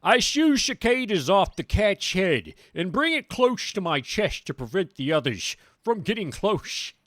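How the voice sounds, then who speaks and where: A woman streamer reading a visual novel aloud with expressive, dramatic storytelling. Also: mid-range voice, a little slow, no room sound. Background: game music.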